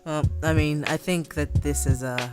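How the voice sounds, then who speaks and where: A man's voice: fairly high pitch, fairly even intonation, moderate pace. A man hosting a podcast in casual, informal, joking and sometimes sweary chat. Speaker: podcast host. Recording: music clearly under the voice.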